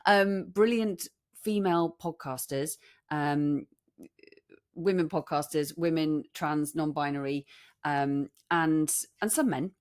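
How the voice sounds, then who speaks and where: Low-voiced British woman co-hosting a podcast. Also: takes it slowly, a little mellow, clean tone. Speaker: podcast co-host. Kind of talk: casual chat.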